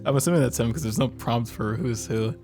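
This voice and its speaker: A man's voice: mid-range voice, fast talker, darkish tone, faint music behind. A young man reading game text aloud in a casual let's play, joking and theatrical. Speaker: gaming video co-host.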